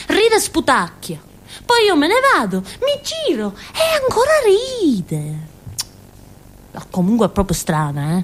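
An actress playing a naive, simple-minded village woman in a theatrical monologue, rambling and childlike with a southern Italian, Calabrian accent. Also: medium pitch. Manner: rambling, childlike, with muttered asides and little interjections. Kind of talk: theatrical monologue in a radio drama.

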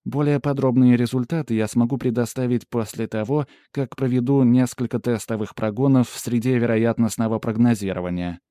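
A female artificial assistant from an audiobook, acted out by a man, delivers formal technical reports. The speech is exact and matter-of-fact, pitched a little above the reader's low talk, with a mellow tone in a dry recording. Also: steady tone, brisk, hardly any pauses, no echo, close to an everyday voice. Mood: analytical. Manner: formal technical reporting in long precise sentences. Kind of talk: audiobook character dialogue.

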